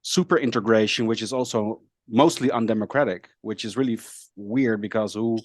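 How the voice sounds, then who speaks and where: A man's voice: on the low side, moderate pace, no echo, clean studio sound. A tired man whose first language is Dutch, hosting a podcast and thinking aloud hesitantly with many fillers. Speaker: podcast host. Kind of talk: casual podcast conversation.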